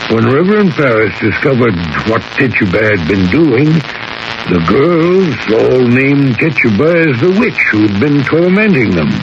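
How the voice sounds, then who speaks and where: A man playing a grave, thoughtful priest in a radio drama, speaking in a measured, earnest and probing way. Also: medium pitch, very animated. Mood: solemn, insistent. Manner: measured, earnest, probing questions with deliberate emphasis. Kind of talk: 1970s radio drama acting. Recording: hissy, dull 1970s radio broadcast.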